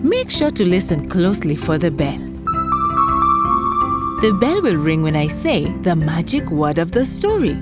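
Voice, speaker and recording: A woman's voice: fairly low pitch, big pitch swings, unhurried, over loud music. A woman hosting a children's folktale podcast, telling a scripted story theatrically and speaking straight to young listeners.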